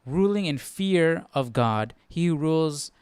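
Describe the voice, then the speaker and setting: A man's voice: fairly high pitch, lively intonation, unhurried, darkish tone, clear, resonant tone, dry recording. A man hosting a Bible study, talking casually, thinking aloud with questions and reading passages out. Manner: musing aloud, asking questions. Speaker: host of a Bible study stream.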